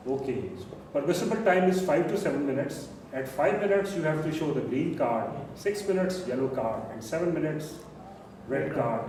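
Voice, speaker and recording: A man's voice: on the high side, expressive, normal speed, darkish tone. A man chairing a speaking club meeting and explaining the rules step by step. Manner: instructive, step by step. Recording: very echoey room, far mic, noisy.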